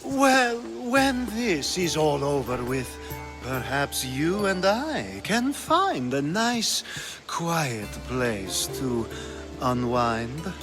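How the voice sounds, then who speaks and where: Languid, drawling, flirtatious hedonist. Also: male voice, fairly high pitch, big pitch swings, very slow, music in the background, full character voice. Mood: seductive. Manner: teasing, drawling, seductive. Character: flirtatious, languid, playful Greek hedonist man. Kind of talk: video game acting.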